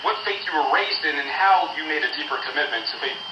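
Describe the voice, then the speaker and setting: A man's voice: fairly high pitch, fast, reverberant, distant mic, lo-fi sound, noisy background. A man hosting a conversational religious interview program, relayed over shortwave radio.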